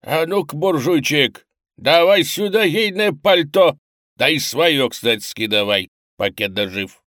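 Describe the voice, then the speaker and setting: A man playing a spiteful, taunting young robber with rough street speech in an audiobook. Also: a little high, animated, unhurried, fairly bright tone, no echo, clean studio sound, voice pushed up. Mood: threatening. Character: menacing squat broad-shouldered young robber, spiteful street thug. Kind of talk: audiobook character acting.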